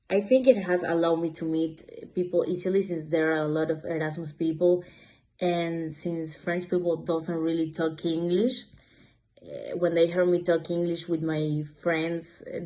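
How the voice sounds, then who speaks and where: A woman's voice: lowish voice, little pitch movement, a little slow, warm tone. A woman in her twenties from Ecuador, a business student giving earnest, plain interview answers. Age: 20s.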